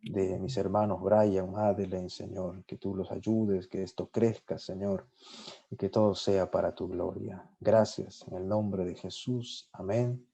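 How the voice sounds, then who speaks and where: Guest, male, low mellow voice, fervent praying style with steady repetitions. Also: unhurried, gravelly, husky. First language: Spanish. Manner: fervent, repeats "Señor" and "amén" often. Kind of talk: spontaneous spoken prayer. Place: lives in Sweden.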